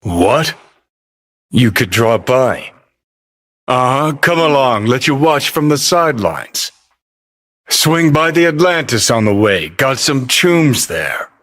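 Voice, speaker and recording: A man's voice: medium pitch, sing-song, slow, fairly bright tone. A male actor playing a cynical, hot-tempered rebel rocker in a cyberpunk video game, with a terse, sardonic, swaggering delivery. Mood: cool, sardonic.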